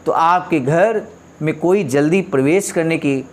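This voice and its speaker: A man's voice: on the high side, unhurried. A man delivering a motivational address, reading out quotations and explaining them in an emphatic, didactic way with rhetorical questions and repeated points. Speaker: speaker explaining a leader's speech.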